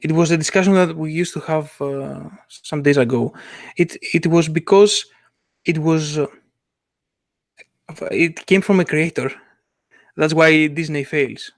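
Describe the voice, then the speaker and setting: Male interviewer, non-native English speaker, slightly high pitch, dry recording, halting delivery. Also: dry recording. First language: not English. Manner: hesitant, searching for words. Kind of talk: casual interview.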